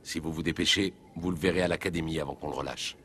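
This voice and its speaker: A determined alien officer from a video game, speaks confidently in a low-pitched voice. The delivery is matter-of-fact, with faint ambient noise behind it. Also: male voice, steady tone, quick pace, a bit bright. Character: keen, determined male alien Turian police officer.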